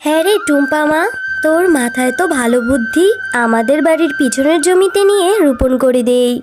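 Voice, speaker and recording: A woman voicing a gentle, hard-working fox mother in a children's cartoon, affectionate and motherly. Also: high-pitched, a little fast, over music, close to an everyday voice.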